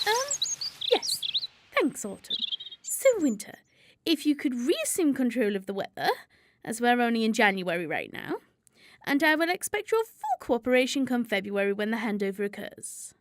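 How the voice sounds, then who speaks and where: A woman's voice: on the high side, big pitch swings, moderate pace. A woman acting a bossy, pompous season spirit in a comedic radio drama, speaking formally and officiously. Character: Spring, prim bossy female season chairing a meeting.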